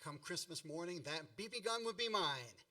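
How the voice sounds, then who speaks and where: A woman's voice: fairly low pitch, lively intonation, moderate pace, pushed and bright. A woman playing a grown-up storyteller who dramatically recalls childhood as narrator of a radio play. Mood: dramatic, deliberate. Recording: distant microphone.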